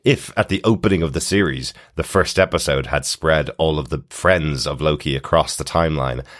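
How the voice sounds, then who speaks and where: A man's voice: a bass voice, moderate pace, fairly bright tone. A man co-hosting a podcast, talking conversationally through a television episode. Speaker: podcast co-host. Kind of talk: TV recap podcast discussion.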